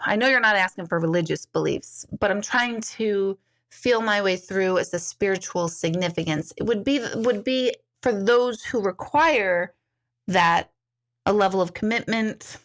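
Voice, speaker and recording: A middle-aged woman talks casually to her viewers as an intuitive medium. She rambles from one comment to the next and laughs easily. Her voice sits at an ordinary middle pitch. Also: normal speed, a bit bright. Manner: chatty, rambling, reads comments aloud, laughs often. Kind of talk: casual live-stream chat.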